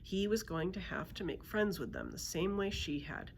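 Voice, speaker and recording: A woman narrating a children's book aloud as a storyteller, in an expressive read-aloud style. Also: on the low side, moderate pace, gravelly, a little hiss or hum. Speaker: librarian storyteller.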